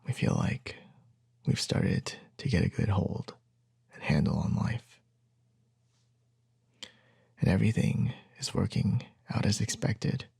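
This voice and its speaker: A man playing a gentle, reassuring young boyfriend in an intimate ASMR roleplay, speaking softly and tenderly, often close to a whisper, with hesitant pauses. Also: on the low side, exaggerated voice. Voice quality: soft, breathy, husky, often near a whisper.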